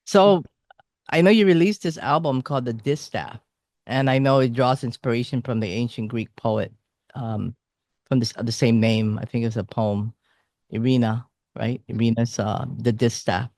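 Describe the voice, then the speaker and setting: A man hosting a casual music interview, forming his questions haltingly and repeating phrases. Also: medium pitch, a little mellow, no echo, studio quality. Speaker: interviewer, music show host.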